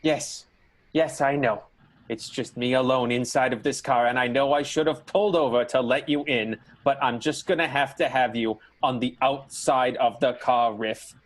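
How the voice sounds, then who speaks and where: A man acting a harried, self-pitying vaudeville showman in an improvised radio soap, husky, weary and repetitive. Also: mid-range voice, steady tone, normal speed, close to an everyday voice. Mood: despairing, flustered.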